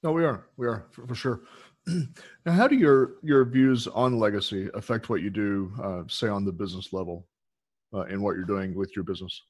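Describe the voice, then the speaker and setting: Male podcast host, lowish voice, dry close sound, rambling filler-heavy questions. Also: normal speed, a little mellow, no echo. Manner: rambling, many fillers, affirming. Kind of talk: interview questions.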